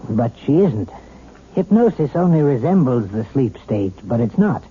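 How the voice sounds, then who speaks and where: An actor playing a calm, authoritative hypnotist doctor in 1970s radio drama, measured and reassuring. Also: male voice, medium pitch, slightly rough, narrow, tinny audio. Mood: steady, commanding. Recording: thin, faintly noisy old radio broadcast.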